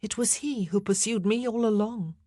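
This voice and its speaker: A woman reading an audiobook, voicing a frightened young queen awaiting execution who pleads in breathy whispers. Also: mid-range voice, moderate pace, mellow, no echo, studio quality, pitched above the performer's own voice, lightly acted. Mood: wistful. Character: doomed young queen, frightened, faithful, fragile.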